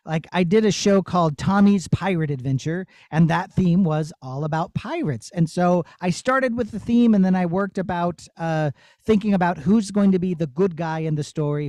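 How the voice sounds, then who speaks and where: A man's voice: high voice, warm tone, no echo. A man who works as a puppeteer, answering children's questions in an encouraging, explanatory way.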